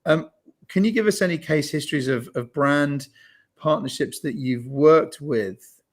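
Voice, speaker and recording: A man hosting an online interview, brisk and upbeat, often stumbling into restarts as he frames his questions. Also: on the high side. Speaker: host.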